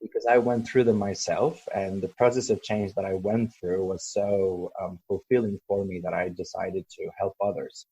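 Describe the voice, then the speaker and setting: A man from El Salvador, a coach being interviewed, speaking thoughtfully with many pauses and ums. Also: low voice, steady tone, warm tone, husky, dry recording, rough recording. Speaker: guest, coach. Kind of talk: podcast interview.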